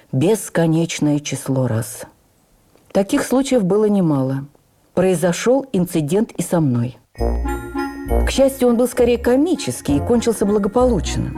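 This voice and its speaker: A woman reading a memoir for radio as an actress, with measured, expressive, theatrical storytelling. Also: lowish voice.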